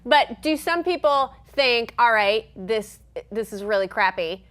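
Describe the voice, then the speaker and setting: A woman's voice: fairly high pitch, expressive, measured pace, fairly bright tone. American woman interviewing casually. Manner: agreeable, frequent short affirmations. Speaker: talk show host, interviewer.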